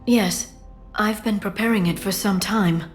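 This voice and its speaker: A woman playing a gentle, earnest alien scholar in a video game, speaking softly and with measured sincerity. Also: mid-range voice, music in the background, lightly acted. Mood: earnest, warm. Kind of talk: dramatic video game acting.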